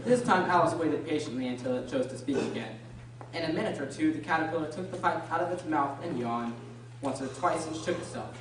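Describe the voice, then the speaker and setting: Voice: medium pitch, animated, brisk, close to an everyday voice. A narrator reading scripted storybook narration for an amateur radio drama. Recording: very echoey room, distant mic, noisy.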